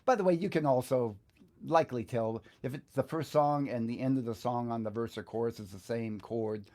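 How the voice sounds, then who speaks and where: A man's voice: medium pitch, brisk, a little mellow. Middle-aged man giving an informal tutorial. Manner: conversational, thinking aloud. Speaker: guitar instructor, video host. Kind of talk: informal step-by-step screen tutorial.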